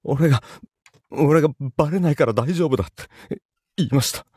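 A man playing a cowed, guilt-ridden cheating boyfriend held captive in an audio drama, speaking haltingly and apologetically. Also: a little high, a little mellow, husky, no room sound, studio quality. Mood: guilty, cowed. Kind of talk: Japanese audio drama acting.

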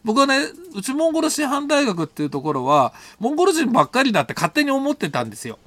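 A man casually telling a personal travel story, colloquial and animated, acting out bits of dialogue. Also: very high voice, quick pace, no echo. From Japan. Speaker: solo audio storyteller, host.

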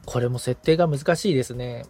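A man's voice: mid-range voice, fairly quick, mellow, faint music behind. A man chatting as a podcast assistant being coached, musing and asking questions in a casually polite, tentative way.

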